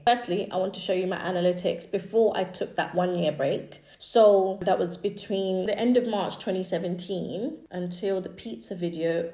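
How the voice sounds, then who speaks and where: A woman in her thirties from East Africa whose first language is Swahili, chatting casually as a YouTuber walking through her own channel history with fillers and quick asides. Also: mid-range voice, mellow. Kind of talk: casual screen-share walkthrough. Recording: echoey room.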